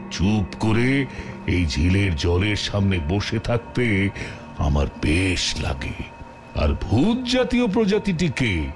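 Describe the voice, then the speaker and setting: A man voicing a mysterious, calm and knowing stranger in a Bengali cartoon, theatrical and teasing. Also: lowish voice. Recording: music behind the voice.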